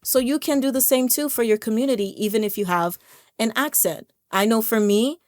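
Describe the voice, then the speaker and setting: A woman's voice: on the high side, brisk, darkish tone, no echo, studio quality. A young American woman vlogger talking to camera in a casual, encouraging way, often repeating words as she restarts. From United States. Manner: conversational, stumbles and repeats words, laughs now and then. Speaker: language vlogger.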